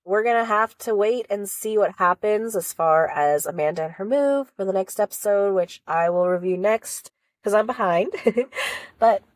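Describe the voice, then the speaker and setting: A woman's voice: mid-range voice, normal speed. A woman talks through a reality show episode in a loose, rambling way, with the odd sniffle from a cold. Her voice sits in a mid range. The sound is close and dry, with no room echo. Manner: rambling, chatty, warm encouragement. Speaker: reality show reaction vlogger. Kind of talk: casual recap vlog.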